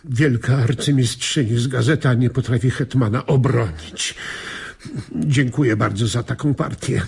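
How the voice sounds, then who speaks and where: An actor playing a proud, gruff middle-aged father in a radio drama, blunt and sarcastic, with a raspy, gravelly voice. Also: medium pitch, normal speed, a little mellow. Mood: offended, angry.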